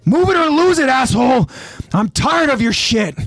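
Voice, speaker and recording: Hot-headed young male soldier, acted by a man, aggressive yelling, pitch shoved well up. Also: sing-song, normal speed, no room sound, exaggerated voice. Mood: angry, fed up.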